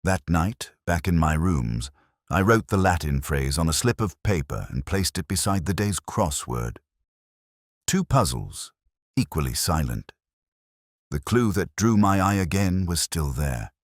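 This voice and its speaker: Male narrator, extremely deep pitch, lively intonation, solemn literary delivery, scripted mystery storytelling. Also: a little slow, dry recording, studio quality. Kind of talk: scripted first-person mystery audiobook narration.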